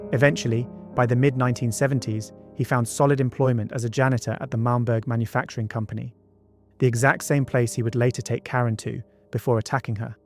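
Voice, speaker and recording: A man's voice: medium pitch, darkish tone. Male true-crime documentary narrator over music. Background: background music.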